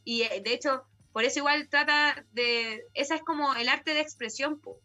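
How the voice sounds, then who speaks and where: A woman's voice: sits high, moderate pace, pushed and bright, faint music behind. A woman with a Chilean accent, a guest singer chatting casually in slangy speech and tagging her sentences with a question. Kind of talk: casual conversation.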